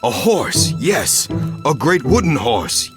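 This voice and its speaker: An actor playing a shrewd, confident ancient war captain in a game cutscene, assured and matter-of-fact. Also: male voice, medium pitch, very animated, takes it slowly. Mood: inspired. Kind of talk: dramatic game cutscene acting. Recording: faint music behind the voice.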